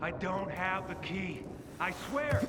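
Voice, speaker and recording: A man's voice: sits high, expressive, slow pace. An actor playing a frightened, urgent male survivor in a video game, with a strained delivery. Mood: frightened, pleading. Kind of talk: video game cutscene acting. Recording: very noisy ambience, off-mic.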